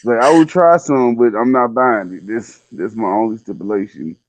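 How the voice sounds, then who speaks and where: A man's voice: mid-range voice, moderate pace, muffled recording, slight background noise. A man telling a personal story in casual slang, laid-back and conversational, acting out the dialogue as he goes.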